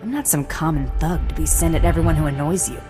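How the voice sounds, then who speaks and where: A woman plays a hard-nosed bounty hunter from a sci-fi video game. Her fairly low voice fires off blunt, cocky lines very quickly. Clear music runs behind her. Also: close to an everyday voice. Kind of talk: dramatic game cutscene dialogue.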